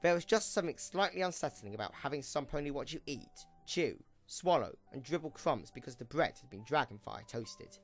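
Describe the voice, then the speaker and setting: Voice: mid-range voice, animated, a little fast, few pauses, faint music behind. A narrator reading scripted third-person story narration for an amateur audio drama.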